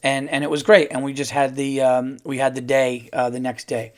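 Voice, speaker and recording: A middle-aged man, a comedian hosting his own podcast, chatting casually and rambling with lots of fillers, his voice a little hoarse from touring. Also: medium pitch, level tone, moderate pace. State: slightly hoarse, losing his voice. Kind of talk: casual solo podcast monologue.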